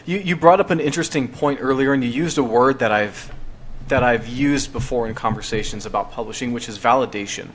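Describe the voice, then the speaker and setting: A man hosting a podcast, talking in a relaxed, conversational way with the occasional light chuckle. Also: mid-range voice, a little fast, a little hiss or hum. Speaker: podcast host. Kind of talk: casual podcast chat.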